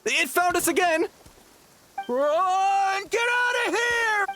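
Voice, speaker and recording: A man playing a cowardly, frantic character in a video game, yelling in panic. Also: very high voice, lively intonation, slow pace, full character voice. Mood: panicked.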